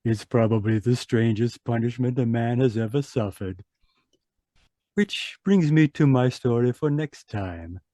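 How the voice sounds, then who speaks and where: A man's voice: medium pitch, a little slow, mellow, no room sound, natural voice. A man acting a mysterious traveler who narrates eerie tales in old-time radio mystery style, chatty and insinuating. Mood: sly, storytelling.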